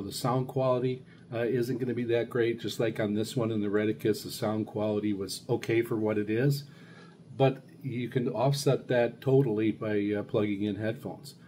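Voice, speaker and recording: A middle-aged man giving a casual, unscripted product review in a halting, rambling way. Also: fairly low pitch. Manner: halting, rambling, hesitant delivery. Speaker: radio hobbyist reviewer, show host. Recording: clear steady background noise.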